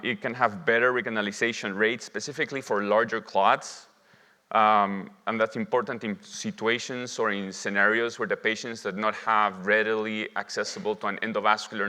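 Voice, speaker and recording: Bright-voiced man lecturing in a reverberant hall. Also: mid-range voice. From Lima, Peru. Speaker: physician presenting as a conference speaker. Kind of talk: medical conference lecture. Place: indoor conference hall at a symposium. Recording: reverberant hall, long echo.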